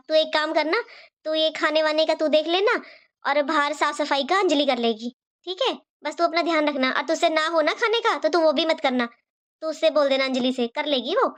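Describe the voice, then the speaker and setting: A high-voiced middle-aged mother-in-law acted by a woman from a cartoon family drama. She is caring but fretful, fussing over everyone and repeating her points insistently. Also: natural voice. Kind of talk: animated family drama dialogue.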